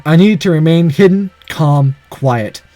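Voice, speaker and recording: A man plays through a visual novel, reading it out with a lot of drama. His voice sits fairly high and swings widely in pitch, and he drops in sarcastic asides. The sound is dry and close. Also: animated, a little slow, warm tone, dry recording, soft background music. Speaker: let's-player reading a visual novel aloud. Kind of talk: dramatic read-aloud with playful commentary.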